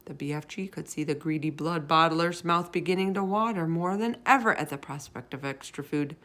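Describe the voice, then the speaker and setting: A woman storyteller reading a children's book aloud in expressive narration, with dramatic, drawn-out emphasis. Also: sits low.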